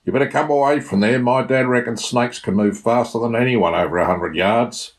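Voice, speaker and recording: A man acts out a young Australian boy from a storybook, anxious and guilty. The voice is lifted well above the reader's deep natural register. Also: fairly quick, lightly acted. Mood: wary, urgent.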